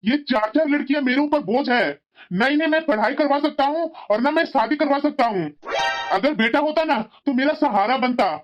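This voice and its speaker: A woman's voice: high-pitched, animated, moderate pace, faint music behind. A woman voicing an irritable, scheming father in a family moral cartoon, grumbling and evasive. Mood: bitter. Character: scheming, irritable father who resents his daughters. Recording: dull, lo-fi cartoon voice track.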